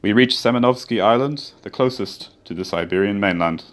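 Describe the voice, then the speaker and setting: Man as seafaring narrator, fairly low voice, sober account, polar survival radio drama. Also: brisk, lightly acted. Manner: sober storytelling. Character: chief engineer recounting a grim polar expedition.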